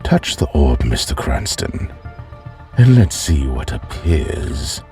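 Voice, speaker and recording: A man playing a sly, formal alien villain in an audio drama, with a gravelly, deliberate delivery. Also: big pitch swings, warm tone, soft background music, exaggerated voice. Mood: smooth, unhurried. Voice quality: very deep, gravelly. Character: sly non-human alien villain, widowed, coolly formal.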